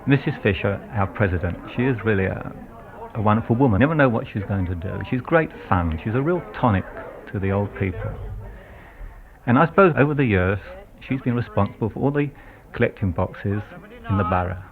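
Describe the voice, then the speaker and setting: Man, low voice, plain-spoken; narrow archive sound, crowd behind. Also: expressive, fairly quick.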